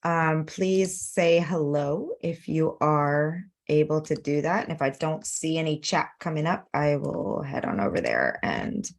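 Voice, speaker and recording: Brief: woman, low-pitched webinar host on a video call, chatty and upbeat, plenty of ums and restarts. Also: sing-song, unhurried, hardly any pauses. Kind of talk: live webinar hosting.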